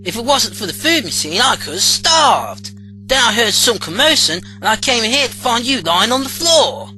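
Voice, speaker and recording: A loyal young companion in an audio drama, folksy and rough in his grammar. The voice is bright and lively in pitch, with faint music under it. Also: normal speed, poor audio. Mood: earnest.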